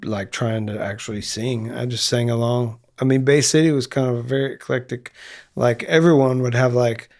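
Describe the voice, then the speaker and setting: A man's voice: mid-range voice, fairly even intonation, moderate pace, a little mellow. A man in his fifties, a band singer, talking casually in a studio interview with lots of fillers and restarts. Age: 50s. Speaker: guest musician, singer in a band. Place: recording studio.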